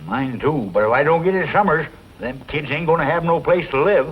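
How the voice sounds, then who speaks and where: A man's voice: a little high, a little fast, close to an everyday voice. An older man playing a feisty, folksy old ranch codger in a western, with rustic, ungrammatical speech. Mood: worried. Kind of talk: 1940s western film acting. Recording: dull, noisy old film soundtrack.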